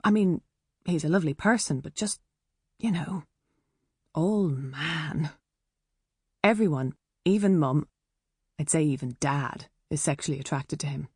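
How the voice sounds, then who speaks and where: A woman's voice: low voice, measured pace, pauses often, a little mellow, slightly rough, no echo, clean studio sound. A woman with an Irish accent narrating a first-person novel in a chatty, wry way. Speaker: audiobook narrator.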